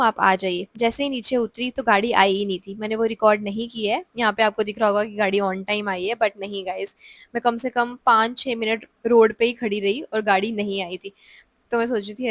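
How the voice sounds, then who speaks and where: A woman's voice: on the high side, no echo. Chatty young woman narrating a vlog. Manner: chatty Hinglish storytelling. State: sore throat and cold. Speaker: vlogger. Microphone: close voice-over mic.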